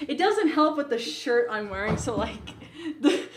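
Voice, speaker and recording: A woman with a high-pitched voice chats as a podcast co-host. She tells stories casually, in a playful, teasing manner, dropping "like" into her sentences. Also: animated, a little slow, a loud crowd. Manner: playful, teasing, often says "like". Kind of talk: casual chat, animated storytelling.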